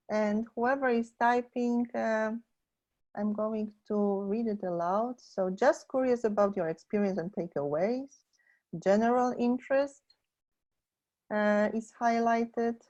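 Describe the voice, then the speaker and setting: A woman moderates an online seminar as its host. Her voice sits slightly high for a woman. The sound is a dull, lo-fi internet call. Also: darkish tone. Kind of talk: introducing the speaker and reading out chat comments. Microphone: webinar call.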